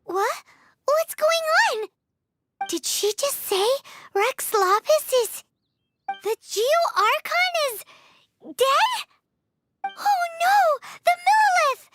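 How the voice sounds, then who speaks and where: A woman voicing a tiny, cheeky, excitable childlike fairy in a video game, with a squeaky voice and exclamatory manner. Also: noisy background, exaggerated voice. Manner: exclamatory, refers to self in third person. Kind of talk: video game cutscene acting.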